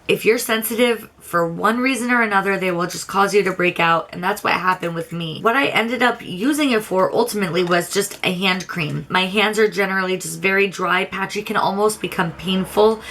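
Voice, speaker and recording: A young adult woman reviews her used-up beauty products in a relaxed, candid chat. Her voice is slightly raspy, and her pitch sits in a middle range. She often restarts her sentences and rambles as she talks. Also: slight background noise. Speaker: beauty vlogger.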